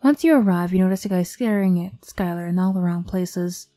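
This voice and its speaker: A woman's voice: lowish voice, a little fast, warm tone, dry recording, faint music behind. A woman narrating a scripted second-person ASMR audio story.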